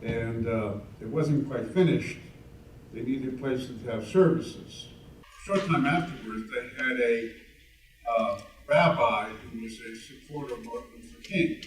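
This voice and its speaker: A man's voice: medium pitch, a little slow. A man in the audience asks a question, rambling and full of fillers. He sounds far from the mic. The large hall is echoey and carries a faint background hum. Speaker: audience member asking a question. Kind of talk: casual question at a Q&A.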